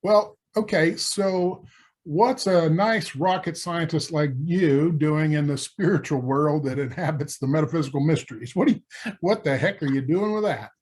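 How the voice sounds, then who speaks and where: A man's voice: a little high, normal speed, a little mellow, husky. A man co-hosting a podcast, teasing and joking sarcastically in casual chat. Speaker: podcast co-host.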